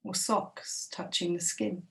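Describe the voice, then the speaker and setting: Female meditation guide, lowish voice, very slow gentle delivery with long pauses, sounding far from the mic. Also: fairly even intonation, vocal fry. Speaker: meditation teacher. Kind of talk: guided body scan meditation.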